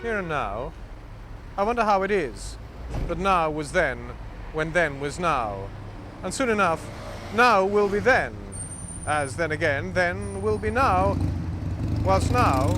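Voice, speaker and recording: Male performance poet, high voice, theatrical and deliberate in rhymed verse, clear noise behind him. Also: animated. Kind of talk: reciting rhyming poetry.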